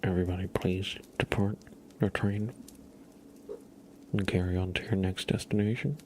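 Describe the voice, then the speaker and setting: A man voicing a calm, polite train intercom announcer with a nasal tone, in an ASMR roleplay. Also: deep voice, moderate pace, slight background noise, close to an everyday voice, poor audio. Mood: calm announcing.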